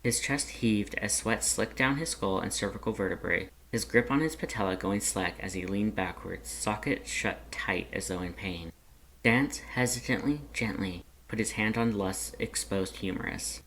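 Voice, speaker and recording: A narrator reading scripted fan fiction aloud in an expressive, dramatic way. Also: low-pitched, normal speed, a little hiss or hum, poor audio.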